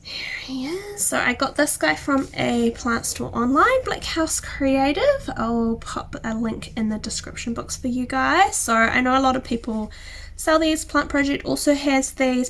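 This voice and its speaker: A young woman with a New Zealand accent chatting to camera, a little croaky from a cold, enthusiastic and dipping into soft near-whispers. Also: fairly high pitch. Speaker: plant vlogger. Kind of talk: casual chat to camera. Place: indoor room with plant shelves. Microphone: camera close in front.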